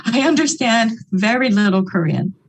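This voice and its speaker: A woman's voice: a little high, expressive, normal speed, slight background noise. A woman from Hawaii giving a motivational sales talk in an earnest, chatty way, often checking in with "okay?" and "right?". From Hawaii, United States. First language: English. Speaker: network marketing leader.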